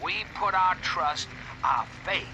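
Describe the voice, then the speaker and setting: A man's voice: sits high, takes it slowly, music in the background, poor audio. A man voicing a stately older president delivering a grandiose, oratorical radio address in a video game.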